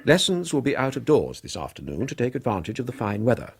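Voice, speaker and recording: A man's voice: medium pitch, very animated, fast talker, breathy edge. A man playing a stern, pompous Victorian father in a radio drama, formal and imperious, with an English accent. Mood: stern, reproving. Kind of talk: 1990s British radio drama acting.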